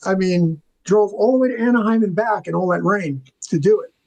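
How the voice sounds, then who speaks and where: A man's voice: high voice, brisk, darkish tone, poor audio. An older man talking casually as an interview guest, telling stories enthusiastically and wandering into asides. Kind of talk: casual chat, storytelling.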